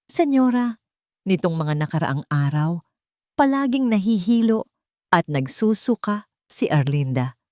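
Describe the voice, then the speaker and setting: A woman's voice: fairly low pitch, sing-song, measured pace, long pauses, warm tone, dry recording, clean studio sound. A woman voicing a sly old female housekeeper in an audio love story, hesitant and deferential. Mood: hesitant, insinuating.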